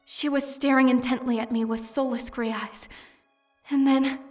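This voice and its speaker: A woman's voice: high-pitched, steady tone, moderate pace, recorded from a distance, soft background music, lightly acted. A woman playing a terrified female murder victim in a horror game dub, recounting the horror with anguished, theatrical intensity. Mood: horrified, dread.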